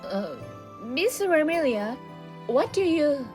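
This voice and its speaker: A woman playing an earnest, soft-hearted young gatekeeper in a radio drama, speaking hesitantly. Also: sits high, sing-song, takes it slowly, soft background music, heavily acted. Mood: flustered.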